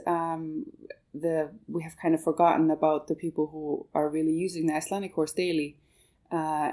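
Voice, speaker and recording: A woman's voice: low voice, mellow. A woman who grew up in Canada and whose first language is German, giving hesitant interview answers as a project manager, with many fillers and restarts. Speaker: guest, manager of a marketing project.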